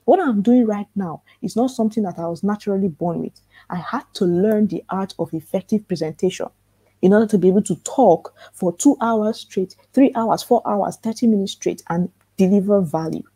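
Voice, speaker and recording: A soft-spoken woman with a calm voice teaching a live online workshop for authors, earnest and repetitive, asking rhetorical questions. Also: medium pitch, moderate pace, breathy edge, no room sound, rough recording. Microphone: computer mic in a live webinar.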